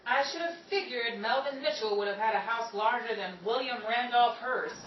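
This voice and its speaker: A woman's voice: a little high, normal speed, close to an everyday voice. A woman playing an exasperated, sarcastic aspiring Hollywood dancer of the 1930s in an old-time radio comedy style. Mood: grumbling. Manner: sarcastic, punchline-driven complaining. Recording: roomy, distant, dull, noisy amateur recording.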